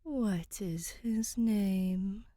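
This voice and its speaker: Woman voicing a slow, breathy, regal ghost lady. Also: medium pitch, dark tone, dry recording, studio quality. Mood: formal, inquiring. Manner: formal, slow, stately. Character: ancient female city ghost, regal, mysterious, amused. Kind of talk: fan fiction audiobook character voice.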